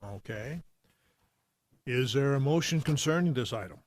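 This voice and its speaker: A man's voice: medium pitch, animated, moderate pace, a little hiss or hum. A man chairing a planning commission meeting, asking brief, procedural questions.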